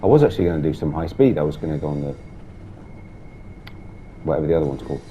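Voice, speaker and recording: A man chatting casually while driving a train in a simulator game, gravelly with a sore throat and blocked nose, muttering through the controls. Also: very deep voice, expressive, quick pace, warm tone, muffled recording, slight background noise. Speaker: gameplay streamer. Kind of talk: casual train sim commentary.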